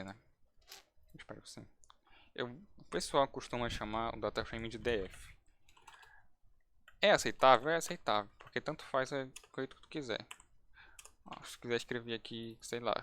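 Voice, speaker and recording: Brief: male instructor, relaxed and chatty, restarts phrases while demonstrating code, typing heard behind. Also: mid-range voice, normal speed, long pauses, slight background noise. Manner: casual, thinking aloud with restarts. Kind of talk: live-streamed coding lesson.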